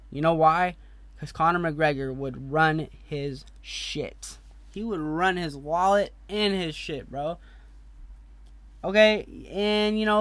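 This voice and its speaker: Young dude ranting on his podcast, kinda high voice, cussing a lot, dead dry sound. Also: a bit bright, no room sound. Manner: blunt, profane, heated ranting with asides. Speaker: podcast host.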